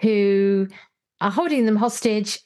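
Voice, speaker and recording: A woman's voice: mid-range voice, takes it slowly, mellow. A woman hosting a podcast, talking casually and thoughtfully about stories in an articulate way. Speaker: podcast host. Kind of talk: casual literary discussion.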